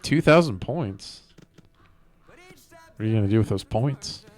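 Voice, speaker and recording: A man streaming a video game, chatting casually and rambling as he thinks out loud. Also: fairly low pitch, expressive, unhurried. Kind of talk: casual live gameplay commentary. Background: game music.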